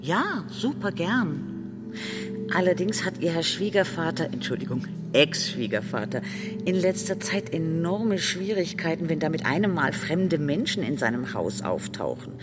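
A woman's voice: low voice, big pitch swings, unhurried. An actress playing a scheming young woman in a radio drama, glib and officious as she insists on her way. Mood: smug, officious. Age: young adult. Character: scheming young woman, officious self-appointed guardian of old man. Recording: long hall reverb, music behind.

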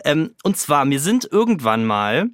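A man's voice: on the high side, sing-song, measured pace, fairly bright tone, dry recording, studio quality. A young man in casual conversation, talking in a hesitant, roundabout way. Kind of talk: casual chat.

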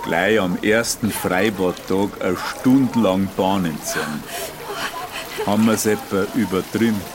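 Deep-voiced, laconic pool attendant. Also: male voice, measured pace, noisy background. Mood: cocksure, flirtatious. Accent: Bavarian-Austrian. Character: laconic, cocksure flirtatious swimming-pool attendant. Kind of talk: radio drama acting.

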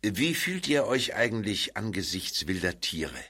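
An actor playing a courteous older gentleman welcoming young visitors in a radio play. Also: fairly low pitch, big pitch swings, unhurried, heavily acted. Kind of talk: German radio play acting.

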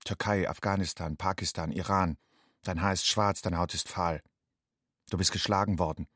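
An actor playing a somber narrator in a radio play, with a husky voice and a grave, repetitive, incantatory way of addressing the listener. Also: male voice, deep voice, steady tone, moderate pace, fairly bright tone, no echo, clean studio sound. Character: somber inner narrator addressing a war refugee as "you".

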